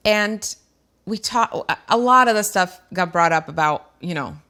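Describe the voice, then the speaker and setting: A woman's voice: lowish voice, expressive, normal speed, sharp tone, clean tone. A middle-aged woman hosting a talk show, speaking earnestly and emotionally to viewers about her own life, with frequent fillers. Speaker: show host. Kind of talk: candid personal talk to viewers.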